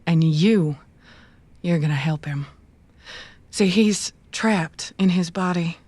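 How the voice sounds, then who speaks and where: A woman's voice: fairly low pitch, takes it slowly, darkish tone, dry recording. A woman playing a soothing, calculating handler in a cyberpunk audio drama, coaxing in a folksy way. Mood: persuasive.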